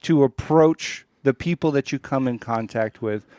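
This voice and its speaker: A man's voice: mid-range voice, a little slow, darkish tone, no room sound, clean studio sound. A man in casual conversation as a podcast co-host, reflective and feeling his way toward his phrasing. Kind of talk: casual chat.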